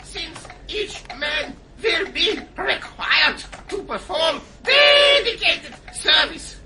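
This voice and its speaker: Crazed old german professor, super high voice, drags words out, noisy clicky recording. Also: male voice, big pitch swings, slow pace, exaggerated voice. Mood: manic rapture. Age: older. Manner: theatrical, frenzied, gasping exclamations. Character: manic old German mad scientist, fanatical, overexcited, ecstatic. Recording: heavy noise and clicks.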